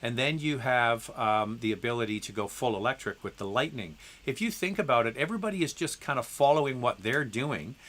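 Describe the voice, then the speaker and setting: A man's voice: medium pitch, lively intonation, a little fast, hardly any pauses, a bit bright. A man hosting a live Q&A and answering viewer questions, congested from a head cold, talking casually with lots of ums and restarts. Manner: conversational, with frequent ums and restarts; reads viewer messages aloud. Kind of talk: live stream Q&A.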